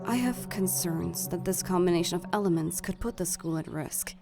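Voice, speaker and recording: A woman voicing a brisk, formal, scheming female staff aide, articulate and dryly businesslike, in a dramatic fandub. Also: on the low side, creaky, music in the background. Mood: stern, concerned.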